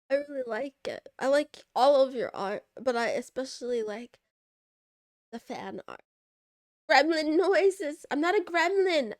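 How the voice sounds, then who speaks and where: A woman's voice: sits high, no echo, clean studio sound. A young woman streaming and chatting with viewers, playful and giggly with cat puns, her voice stuffy from congestion. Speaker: VTuber streamer. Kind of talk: casual livestream chat reading viewer messages.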